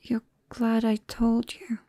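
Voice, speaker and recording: A British woman playing a nervous, tender vampire best friend in an ASMR roleplay, speaking softly and haltingly with drops into whispers. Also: a little high, monotone, no echo. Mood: tender, sincere. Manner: hesitant, halting, breaking into whispers. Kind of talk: ASMR roleplay, soft intimate acting. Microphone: close microphone, dry.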